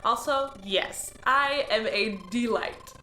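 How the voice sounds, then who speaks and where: Woman playing an eager naive alien new hire, cheerful, bright voice, roomy echo. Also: a little high, takes it slowly, a little hiss or hum. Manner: cheerful, upbeat, earnest. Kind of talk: audio drama acting. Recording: roomy echo, faint music.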